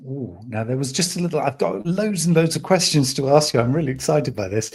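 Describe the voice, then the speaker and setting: A man's voice: mid-range voice, lively intonation, a little fast, slightly rough. A man hosting a podcast, enthusiastic and chatty, asking long rambling questions. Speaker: podcast host. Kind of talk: casual interview hosting.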